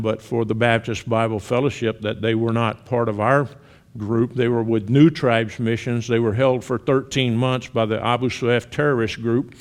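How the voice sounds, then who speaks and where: Older Southern male missionary, sixties, relaxed conversational church talk with light humor. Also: mid-range voice, smooth. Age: 60s. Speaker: missionary guest speaker.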